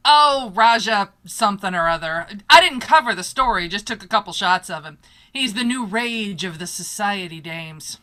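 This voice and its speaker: A woman playing a brash, bright-voiced girl photographer. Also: medium pitch, expressive, normal speed. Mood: excited, gossipy. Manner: breezy, slangy, emphatic. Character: brash, eager female newspaper photographer, slangy and scheming. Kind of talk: old-time radio drama acting.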